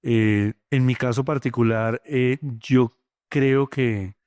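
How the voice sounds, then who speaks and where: A middle-aged poet from Colombia with a Bogotá accent, speaking thoughtfully in an interview, often pausing with fillers. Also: male voice, mid-range voice, fairly even intonation, a little mellow, clear, resonant tone, no room sound. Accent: Bogotá Colombian Spanish. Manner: thoughtful, many hesitant fillers. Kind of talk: reflective interview talk.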